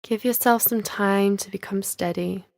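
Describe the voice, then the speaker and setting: A woman leading a guided yoga nidra relaxation as a teacher, speaking in a soothing, measured, instructional manner with gentle pauses. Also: mid-range voice, fairly even intonation, gravelly, no echo, clean studio sound.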